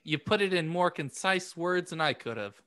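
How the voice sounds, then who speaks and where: A man with a fairly high voice in casual podcast chat. He talks hesitantly, circling his point and starting it over. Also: expressive, normal speed, fairly bright tone, smooth. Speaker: podcast co-host. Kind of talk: casual group banter.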